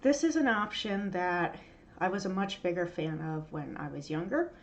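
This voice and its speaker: A woman's voice: fairly low pitch, lively intonation, moderate pace. A woman in her thirties hosting a podcast for writers, sounding nasal and stuffy from allergies, talking candidly with plenty of ums and uhs. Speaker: podcast host. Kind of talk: casual solo talk to writers. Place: home room.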